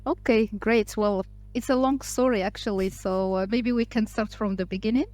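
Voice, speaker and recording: A woman's voice: mid-range voice, steady tone, moderate pace, darkish tone. Hesitant woman from Morocco, interview guest. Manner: speaks English with frequent fillers, restarts and hesitations. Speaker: podcast guest, entrepreneur. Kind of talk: casual interview talk.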